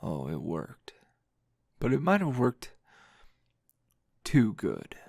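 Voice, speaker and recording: Man voicing weary flirtatious anime hero, deep-pitched, very slow, intimate ASMR roleplay, teasing murmur. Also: huge pitch swings, a little mellow, no echo, studio quality, exaggerated voice. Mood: teasing, seductive. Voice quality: low, gravelly. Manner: slow, breathy murmuring with sighs and long pauses.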